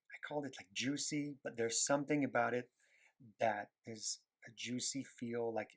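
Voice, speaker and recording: A man's voice: mid-range voice, a bit bright. A man with a Quebec French accent, a native French speaker, chatting casually and searching for words as he talks while drawing. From Quebec, Canada. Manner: rambling, searching for words, many fillers. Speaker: animator and illustrator.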